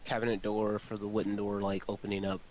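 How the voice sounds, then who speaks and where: A man's voice: on the low side, flat delivery, fast talker, darkish tone. A man chatting casually in a rambling, repetitive, slangy way. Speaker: amateur audio drama producer. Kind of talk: casual chat. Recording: hissy, noisy home microphone.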